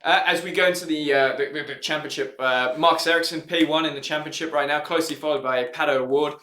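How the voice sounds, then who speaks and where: A man co-hosting casual race commentary, chatting in relaxed, slangy banter. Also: on the high side, fast. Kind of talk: casual sports chat on a stream. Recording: roomy echo.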